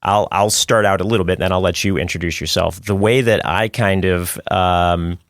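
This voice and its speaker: Guy hosting a podcast, really deep voice, rambles and restarts a lot, dry sound. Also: steady tone, normal speed, dry recording. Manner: rambling, with repeated words and restarts. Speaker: podcast host. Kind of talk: casual interview chat.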